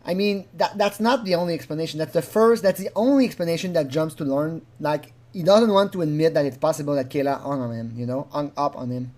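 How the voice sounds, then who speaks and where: A man's voice: fairly high pitch, quick pace, few pauses, a little mellow. Rambling man giving informal commentary. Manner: rambling, animatedly acts out quotes. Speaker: commentator analysing a recorded phone call.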